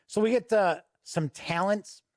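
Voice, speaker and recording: A man hosting an unscripted review walk-through, explaining things in a measured way with deliberate pauses. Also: sits high, dry recording, studio quality. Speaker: host and reviewer.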